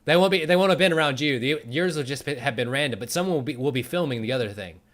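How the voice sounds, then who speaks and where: A man's voice: a little high, animated, quick pace, fairly bright tone. A man hosting a casual conversational interview, giving encouraging advice in an informal way. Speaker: talk show host.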